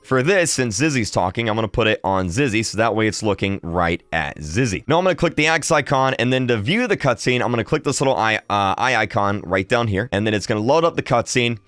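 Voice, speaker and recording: A man's voice: fairly low pitch, lively intonation, brisk, few pauses, a bit bright, dry recording. A young adult man giving a casual, chatty tutorial commentary as a gaming video host, upbeat and close on the microphone. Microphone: close desk microphone on boom arm.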